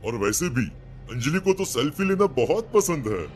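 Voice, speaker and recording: A man's voice: on the high side, very animated, normal speed. A man voicing a cocky young man in a cartoon story, teasing and joking. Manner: teasing, laughing.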